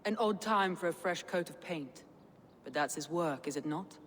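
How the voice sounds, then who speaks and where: A woman's voice: on the low side, normal speed, slight background noise, natural voice. Actress as a proud Viking woman chieftain, reverberant. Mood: measured, guarded. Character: proud Viking woman clan leader, composed and shrewd. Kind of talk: video game dialogue acting.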